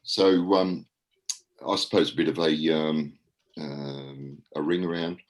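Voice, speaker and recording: An Australian man with an extremely deep voice reports at an online meeting. He hesitates and rambles, filling gaps with ums and uhs. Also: steady tone, slow. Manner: hesitant, many fillers, rambling. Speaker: registrar reporting at an annual general meeting.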